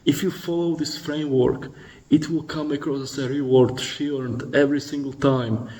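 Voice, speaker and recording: A man's voice: medium pitch, steady tone, normal speed, a little mellow. A man giving blunt, profane dating instruction as a voice-over, lecturing and addressing the listener directly. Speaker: dating coach.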